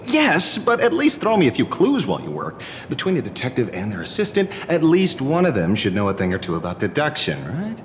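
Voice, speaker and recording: An actor playing a broke, sleepy, sardonic detective in a video game, with a dry, dramatic, wryly cynical manner. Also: male voice, medium pitch, wildly swooping pitch, fairly quick, few pauses, long reverb tail, slight background noise. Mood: exasperated.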